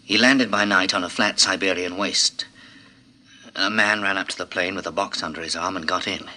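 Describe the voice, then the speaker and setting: An actor playing a grave storyteller, narrating a classic radio drama in a dramatic scripted style. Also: male voice, deep voice, steady tone, normal speed, bright, forceful tone. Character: grave male storyteller recounting disaster.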